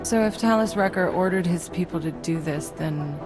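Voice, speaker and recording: An actress playing a calm, probing doctor who questions a patient in a game cutscene. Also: female voice, on the low side, moderate pace, natural voice. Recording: game cutscene with loud music.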